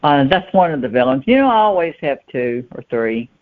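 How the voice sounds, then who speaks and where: A woman giving plain-spoken, halting answers as a guest novelist in a conversational interview. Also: rumbling low, big pitch swings. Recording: muffled, thin phone-line sound.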